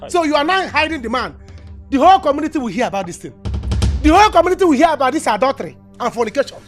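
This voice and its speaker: A nosy, scolding village neighbour, played by a woman. She accuses and gossips in a high voice with lively rises and falls, and faint music plays behind her. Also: fairly quick. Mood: accusing.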